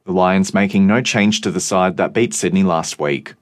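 Low, dry male radio newsreader. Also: no room sound. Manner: measured, even newsreading. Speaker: news and sport reader. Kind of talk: scripted radio news.

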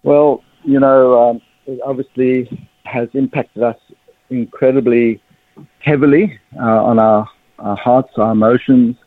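A man in his fifties with a South African accent, giving a measured personal account as an interview guest, pausing mid-sentence. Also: medium pitch, steady tone, no echo. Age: 50s. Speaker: interview guest, religious ministry leader. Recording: narrow phone-like line.

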